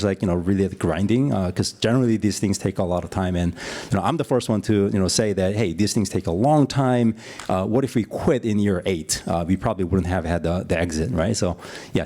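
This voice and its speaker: A man from Korea speaking on a conference panel, halting with many fillers as he gives earnest advice. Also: on the low side, mellow. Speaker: founder on a panel. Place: conference stage.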